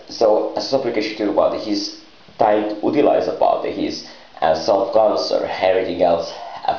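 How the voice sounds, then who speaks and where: A man improvising a rambling monologue in halting, disjointed phrases with garbled grammar. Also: fairly low pitch. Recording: muffled lo-fi, echoey room.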